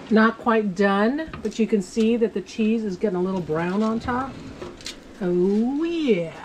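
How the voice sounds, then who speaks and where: A woman's voice: medium pitch, noisy background. Chatty woman cooking in a home kitchen. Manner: chatty, self-narrating each step. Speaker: home cook vlogger. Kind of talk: casual cooking demonstration.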